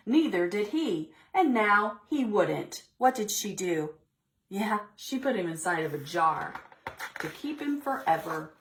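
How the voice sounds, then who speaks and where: A woman leading a preschool storytime, reading a picture book aloud expressively and pausing to ask the children questions. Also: medium pitch.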